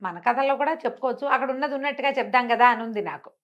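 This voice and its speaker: High-voiced woman telling stories conversationally. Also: very fast, muffled recording. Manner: expressive, rhetorical questions, acts out dialogue. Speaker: storyteller. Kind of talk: conversational moral storytelling.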